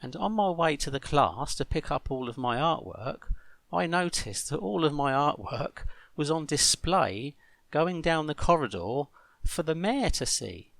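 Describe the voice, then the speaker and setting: Man, middle-aged, gravelly fry, dry recording, deliberate phrasing, grumbling video monologue. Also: on the high side, animated, a bit bright, dry recording. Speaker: host of an online video channel. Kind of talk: monologue to camera for a vlog.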